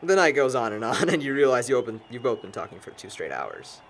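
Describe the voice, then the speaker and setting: A man's voice: medium pitch, sing-song, quick pace, fairly bright tone. A young American man acting as a wordy know-it-all who teases his friends in film dialogue. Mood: musing. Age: young adult. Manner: wordy, teasing, lecturing. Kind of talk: naturalistic amateur film dialogue. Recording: noticeable background noise.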